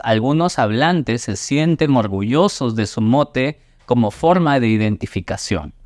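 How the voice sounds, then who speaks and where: A young man, host of an educational video, narrating in a clear and measured teaching manner. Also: medium pitch, no echo, clean studio sound. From Peru. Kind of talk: scripted explainer narration.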